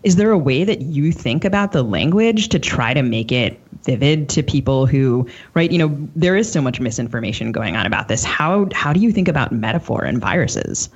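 A woman interviews as a podcast co-host in a conversational way. Her intonation is very lively and her voice is husky. The sound is a little dull and lo-fi. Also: extremely low pitch, moderate pace, few pauses. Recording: dull, lo-fi audio.

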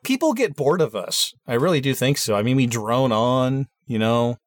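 A man's voice: mid-range voice, lively intonation, moderate pace, very noisy. Nasal-voiced male podcast host. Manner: joking, self-mocking banter. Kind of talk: casual chat, scripted outro.

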